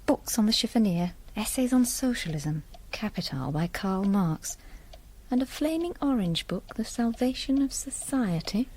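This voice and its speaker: A woman playing a brisk, confident, commanding farmer's wife in a radio drama. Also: medium pitch, lively intonation, darkish tone, husky, rough recording. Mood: private disdain.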